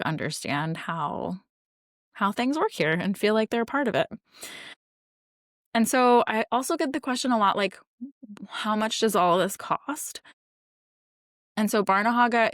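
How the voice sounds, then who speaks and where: Chatty mom with a lower voice. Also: female voice, no room sound, clean studio sound. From New Jersey, United States. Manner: chatty, lots of "like" fillers, upbeat. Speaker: mom vlogger living abroad. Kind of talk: casual talk to camera.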